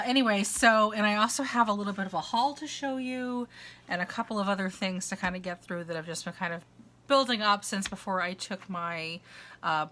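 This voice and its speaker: Chatty congested woman with a bright voice. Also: medium pitch. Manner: rambling, chatty, with many uhs and restarts. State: congested with a dry cough, sore throat. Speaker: crafter and vlogger. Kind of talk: long casual chat. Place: at home, indoors.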